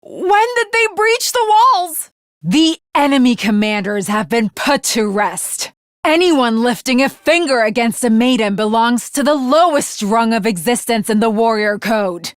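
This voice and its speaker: Bloodthirsty, honor-bound young samurai girl, anime game. Archaic formal speech, unhurried delivery, very dry close recording. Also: on the high side, animated, no room sound. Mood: stern, righteous. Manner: archaic, formal, theatrical diction. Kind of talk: anime video game voice acting.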